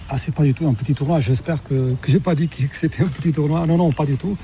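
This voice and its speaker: A middle-aged man from Portugal speaks in a warm, dark voice, hesitating often and restarting his sentences. A faint hiss of noise sits behind him. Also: on the high side, fairly even intonation, fast talker. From Mozambique, raised in Portugal. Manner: hesitant, many fillers and restarts. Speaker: tennis umpire, interview guest. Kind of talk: radio interview.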